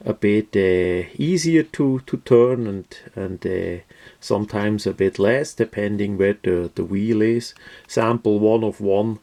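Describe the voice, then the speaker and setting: A man reviews a product in an unhurried, creaky voice. He is a non-native English speaker with a casual, unscripted style. His delivery is mellow and matter-of-fact, halting often to find the next word. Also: mid-range voice. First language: not English.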